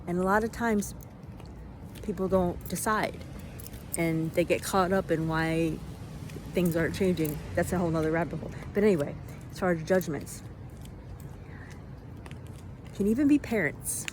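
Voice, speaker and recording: A woman giving an unscripted motivational monologue in a reflective way, in halting phrases with deliberate pauses. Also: lowish voice. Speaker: vlogger giving self-help reflections. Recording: noisy, vehicle-like ambient sound.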